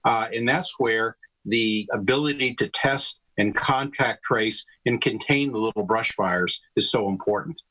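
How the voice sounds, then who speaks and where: A man's voice: fairly low pitch, a little slow, bright, forceful tone, rough recording. An older man, a health system leader, explaining steadily over a video call from a home study, with light fillers. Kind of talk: expert answers on a video call. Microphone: video call webcam.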